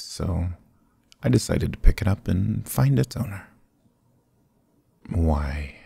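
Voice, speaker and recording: A man playing a sly, charming adventurer thief in a fantasy ASMR roleplay, smooth-talking, courtly and evasive, with theatrical hesitations. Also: low voice, warm tone, no echo, exaggerated voice. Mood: flustered, bluffing. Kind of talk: fantasy ASMR roleplay acting, close and soft.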